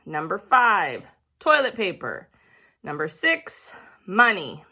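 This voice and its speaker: Woman playing a weary, patient male schoolteacher, animated delivery. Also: medium pitch, takes it slowly, slightly rough, full character voice. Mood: deliberate reading.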